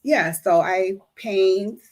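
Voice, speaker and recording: A woman's voice: medium pitch, extremely slow. A woman in her thirties from New York, a guest artist talking casually and modestly, laughing often. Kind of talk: casual interview.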